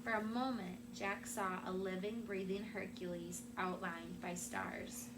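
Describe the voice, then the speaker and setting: A woman teacher reading a children's story aloud in an expressive narrating style. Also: medium pitch, measured pace. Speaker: classroom teacher. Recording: clearly noisy room recording.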